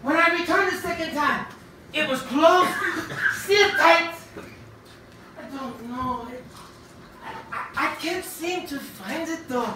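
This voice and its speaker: Woman playing a high-pitched, obsessed professor in echoey theatre. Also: slow pace, long pauses, sharp tone, heavily acted. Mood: flustered, insistent. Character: obsessed, secretive professor hunting a buried ancient machine. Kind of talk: theatrical stage acting of a radio play. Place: theatre stage, picked up from afar. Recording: roomy, distant stage recording with faint noise.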